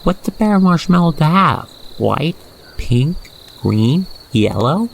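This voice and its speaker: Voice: mid-range voice, very animated, slow pace, gravelly. A narrator guiding the listener through a scripted ASMR roleplay in a soothing, playful storytelling manner. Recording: faint noise with chirping crickets. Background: night insect ambience.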